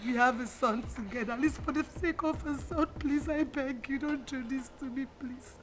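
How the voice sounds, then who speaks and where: An actress playing a betrayed mother who pleads, shouts and sobs with bitter laughter. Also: high voice, moderate pace, background noise, lightly acted. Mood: pleading. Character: betrayed mother, anguished and furious. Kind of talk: melodramatic film acting.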